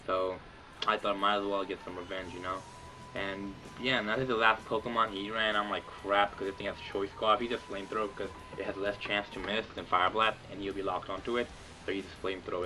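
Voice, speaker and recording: A young man casually commentating his own video game battle, rambling with lots of fillers. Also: bright, forceful tone. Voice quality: says he has a deep voice. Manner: rambling, filler-heavy, lots of "like" and "you know".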